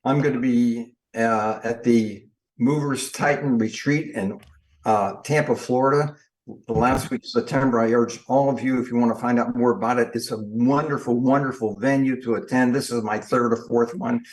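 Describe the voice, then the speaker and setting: An older man, a guest in an interview, telling lively stories with emphatic delivery. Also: mid-range voice, moderate pace, rough recording. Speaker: guest, industry trainer. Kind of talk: storytelling in an interview.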